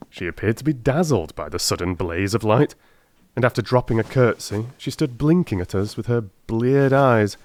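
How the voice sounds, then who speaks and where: A man narrating an audiobook in a dramatic, measured, literary storytelling manner. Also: medium pitch, a little mellow.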